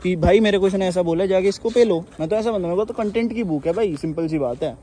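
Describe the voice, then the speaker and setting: A man's voice: sits high, quick pace, warm tone. A young man with a Delhi accent talking argumentatively on a phone call, insistent, repeating himself and cutting in. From Delhi, India. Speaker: social media exposé creator on a phone call. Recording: mildly noisy recorded phone call.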